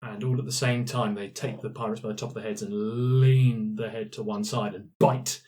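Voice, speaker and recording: A man's voice: lowish voice, steady tone, normal speed, warm tone. A man narrating a tabletop roleplaying game as the game master.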